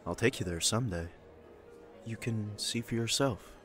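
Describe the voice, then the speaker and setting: Young male castle guard played by a man: fairly deep, casual, gently evasive, fantasy audio drama with music bed. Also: normal speed, close to an everyday voice. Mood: gentle, hesitant.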